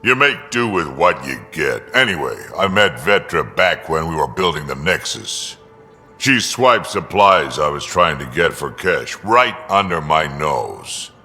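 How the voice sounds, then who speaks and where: An actor playing an ancient, gruff alien mercenary and proud grandfather in a video game, blunt, dry and salty, with a gravelly rumble. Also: very animated, measured pace, bright, forceful tone, in a large hall, soft background music, heavily acted. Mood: proud, amused. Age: older. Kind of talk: video game character dialogue.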